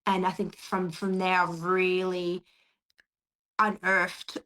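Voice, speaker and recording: A woman with an Australian accent, a podcast guest telling her life story in a conversational, hesitant way with frequent pauses. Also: lowish voice, level tone, pushed and bright. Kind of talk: personal storytelling.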